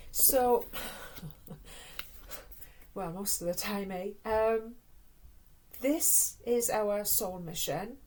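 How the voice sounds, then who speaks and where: A woman's voice: mid-range voice, a little mellow, low-quality recording. Welsh woman giving a chatty card reading. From Wales. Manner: chatty and meandering, fillers and restarts, addresses listeners as my loves; slow measured reading aloud from a card guidebook. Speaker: tarot card reader. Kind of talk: casual spoken card reading.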